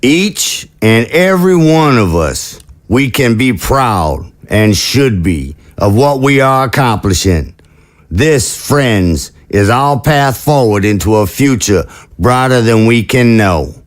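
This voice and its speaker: A man acting in an audio drama as a lofty public leader giving a proud, deliberate political speech. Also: medium pitch, lively intonation. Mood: proud, hopeful. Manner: oratorical, deliberate.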